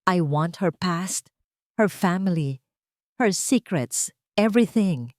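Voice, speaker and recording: A woman's voice: on the low side, lively intonation, slow pace, darkish tone, no room sound. Narrator doing a curt, demanding wealthy woman for a storybook drama; dry. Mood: commanding. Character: wealthy woman, cold and commanding.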